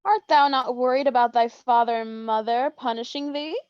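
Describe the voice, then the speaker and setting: A woman's voice: fairly high pitch, moderate pace, no echo, natural voice. A woman playing a brash, bawdy teenage girl in a mock-Shakespearean staged reading, with playful, theatrical archaic speech. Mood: cheeky. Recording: dull, dry lo-fi sound.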